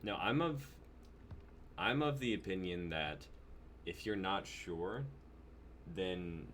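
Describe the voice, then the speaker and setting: A man's voice: low voice, slow, fairly bright tone, slight background noise. A young man hosting a casual livestream, talking in an informal, chatty way. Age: young adult. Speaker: host of a script-reading livestream. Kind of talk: casual livestream group chat.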